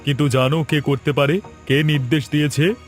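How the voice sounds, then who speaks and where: A man's voice: on the high side, steady tone, brisk, soft background music, close to an everyday voice. A man voicing a stern, righteous police officer in a cartoon, speaking with accusing authority. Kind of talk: Bengali cartoon dialogue.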